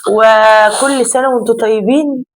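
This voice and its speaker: A woman with an Egyptian accent, hoarse because her voice is going, chatting casually to her viewers at home in a family vlog. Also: fairly high pitch, fairly even intonation, normal speed, background noise. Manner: chatty, addressing viewers directly. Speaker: vlogger. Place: home kitchen and sitting area.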